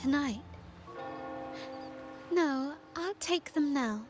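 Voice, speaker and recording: A woman's voice: high voice, expressive, very slow, faint music behind, full character voice. An actress playing a poised young lady customer in a video game, polite, direct and decisive. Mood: brisk, polite.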